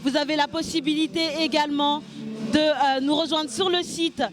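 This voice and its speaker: Female exhibitor, very high-pitched voice, delivering a promotional product presentation. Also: steady tone, moderate pace.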